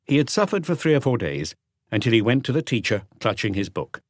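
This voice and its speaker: A man's voice: medium pitch, sing-song, brisk, no echo. A man reading a mystery novel as an audiobook narrator in a scripted storytelling style.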